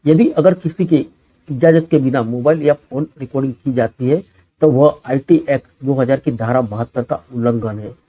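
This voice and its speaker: A man's voice: mid-range voice, normal speed, warm tone, no echo, lo-fi sound. A man reads a scripted news bulletin as the presenter.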